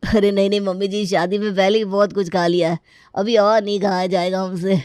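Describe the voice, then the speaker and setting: An easygoing young husband voiced by a woman in an audio story. The voice is mellow and of middle pitch, recorded dry. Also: flat delivery, fairly quick, clear, resonant tone, no echo, lightly acted. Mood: polite protest.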